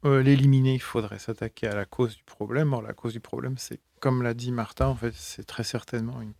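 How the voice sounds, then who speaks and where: A man linguist answering interview questions in a halting way, with many filler pauses. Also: medium pitch, steady tone, vocal fry, darkish tone, dry recording. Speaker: linguist guest.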